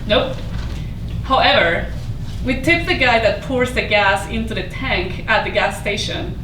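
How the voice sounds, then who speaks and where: A woman's voice: medium pitch, unhurried, lightly acted. A woman acting in a staged play reading as a proud, prickly hotel housekeeper from Mexico, with a wry, conversational manner. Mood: candid, explaining. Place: indoor staged reading before an audience. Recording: roomy, distant mic, noisy live stage.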